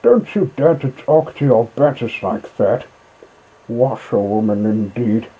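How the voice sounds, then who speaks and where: Man doing a hot-tempered toad, pompous, conceited, husky, indignant, storybook style. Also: mid-range voice, measured pace, mellow, lo-fi sound. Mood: indignant, furious. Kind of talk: storybook character voice in an audiobook reading.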